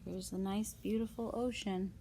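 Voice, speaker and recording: A woman's voice: mid-range voice, steady tone, moderate pace, dark tone. Woman reading to children, noisy background. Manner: simple child-directed explanations and rhetorical questions. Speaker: read-aloud presenter for young children. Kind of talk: children's non-fiction read-aloud with casual asides.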